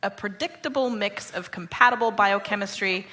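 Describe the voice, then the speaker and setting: A woman radio host with a bright, clear-cut voice at an average pitch. She interviews in a conversational style, feeling her way through each question. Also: moderate pace.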